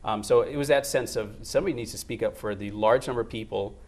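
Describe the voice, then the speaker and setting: A man's voice: on the low side, fast talker. A man running for county office, answering interview questions in a sincere, reflective way. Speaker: political candidate guest.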